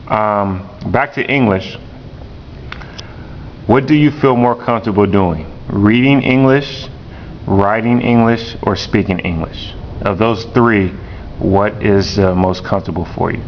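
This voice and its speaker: A man, a teacher, interviewing a student slowly and repeating each answer back. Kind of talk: classroom interview. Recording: dull lo-fi recording with rumble.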